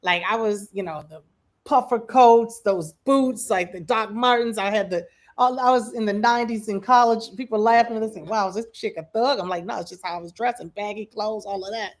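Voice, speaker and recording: Chatty Midwestern woman telling a story. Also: mid-range voice. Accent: Midwestern American. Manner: animated storyteller, frequent "like" and "um", quick asides. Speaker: livestream co-host. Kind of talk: casual chat.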